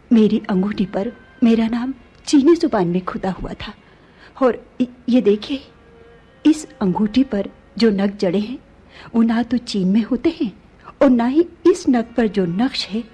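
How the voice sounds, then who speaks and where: High-voiced, sorrowful young princess. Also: female voice, mellow, slightly rough, heavily acted. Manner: plaintive, slow, theatrical Urdu diction. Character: young lovesick Chinese princess, sorrowful and insistent. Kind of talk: radio drama acting.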